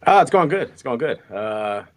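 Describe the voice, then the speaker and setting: A man's voice: medium pitch, big pitch swings, normal speed. A man co-hosting a live football podcast, a coach who rambles, repeats himself and uses lots of fillers. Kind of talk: casual live podcast chat.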